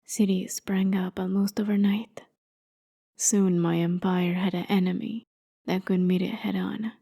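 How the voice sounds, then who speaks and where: Woman acting a breathy, wry immortal warrior woman. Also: mid-range voice, level tone, unhurried. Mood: wry, reminiscing. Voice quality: soft, breathy, often dropping to a near whisper. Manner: sardonic, conversational, world-weary storytelling. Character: immortal warrior demigoddess, haughty, jaded, wry captor. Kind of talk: ASMR roleplay, dramatic monologue.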